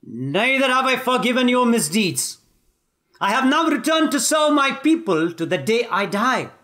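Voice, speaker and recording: A man's voice: very high voice, big pitch swings, moderate pace, bright, forceful tone, pitched above the performer's own voice, exaggerated voice. A man with Tamil as his first language voicing a righteous young warrior king who declaims formally and solemnly. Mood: solemn commanding.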